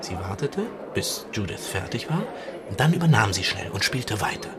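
A young actor in a radio play voicing an ambitious young stage actor who talks hesitantly and gossips slyly. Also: male voice, fairly low pitch, very animated, moderate pace, crowd noise, background noise. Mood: cagey, gossiping. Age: young adult.

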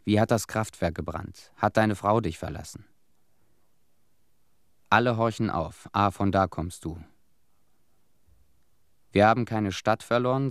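An actor playing an ordinary townsman who survived a disaster, giving earnest, embittered testimony in a solemn, deliberate radio drama monologue. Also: lowish voice, no room sound, clean studio sound. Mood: wounded, solemn.

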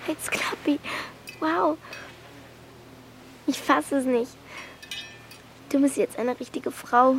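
A young woman playing an anxious thirteen-year-old girl in a wartime radio drama, speaking softly and intimately, full of questions. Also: high-pitched, steady tone, slow pace, long pauses, slight background noise. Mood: impressed. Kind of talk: naturalistic radio drama acting.

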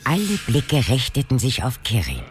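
A man's voice: medium pitch, wildly swooping pitch, normal speed. A man narrating a scripted fantasy radio drama as its storyteller.

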